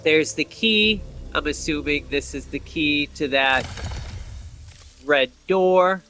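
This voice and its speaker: A man's voice: a little high, takes it slowly, bright, forceful tone, faint music behind. A man giving casual gameplay commentary, explaining things and thinking aloud as he plays.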